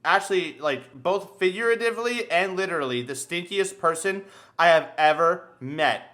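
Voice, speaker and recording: A young man with a high, bright, forceful voice hosts a casual comedy podcast. He swears freely and tells stories theatrically, doubling back on words as he builds to a point. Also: animated, normal speed. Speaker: podcast host. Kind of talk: casual comedic storytelling chat.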